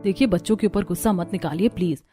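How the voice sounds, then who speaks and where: A woman voicing a kind, polite young housewife in a cartoon story, gentle and earnest. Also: on the low side, quick pace, faint music behind, lightly acted. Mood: pleading.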